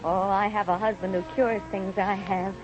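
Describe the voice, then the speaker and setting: An actress playing a devoted, stubborn young wife, impassioned and insistent, in an old radio drama. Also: female voice, mid-range voice, flat delivery, moderate pace, soft background music, lightly acted. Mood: breezy. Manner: impassioned, insistent, stammering with feeling. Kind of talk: 1930s radio drama acting. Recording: muffled, noisy old radio recording.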